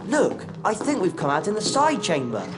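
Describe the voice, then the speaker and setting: An actor playing an earnest, excitable young schoolboy wizard in a video game. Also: male voice, sits high, normal speed. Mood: amazed. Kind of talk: video game character acting. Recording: loud game music behind.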